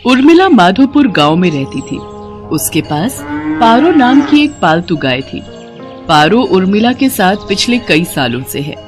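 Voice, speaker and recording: A woman's voice: medium pitch, big pitch swings, a little slow, over music. A woman storyteller giving scripted narration of a moral tale.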